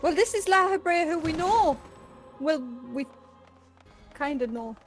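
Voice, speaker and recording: High-voiced woman reacting to a game. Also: lively intonation, a little slow. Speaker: co-commentator in a reaction video. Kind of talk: casual reaction commentary on a game. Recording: music under the voice.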